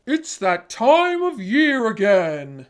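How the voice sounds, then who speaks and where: A woman voicing a jolly old Santa Claus in a storybook, with a booming, hearty delivery. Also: very animated, takes it slowly. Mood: booming announcement.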